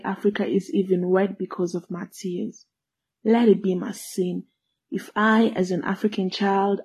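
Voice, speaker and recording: Young Congolese woman poet, Swahili native, husky and breathy, flat and solemn reading. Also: lowish voice. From Democratic Republic of Congo. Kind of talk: spoken word poetry and introduction.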